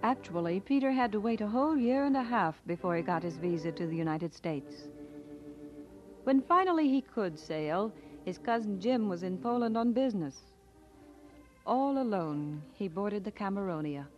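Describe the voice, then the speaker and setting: A woman playing a devoted wife who narrates a life story in a measured, solemn radio drama style. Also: medium pitch, lively intonation, lightly acted. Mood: solemn, reflective. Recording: dull vintage radio recording, faint music behind.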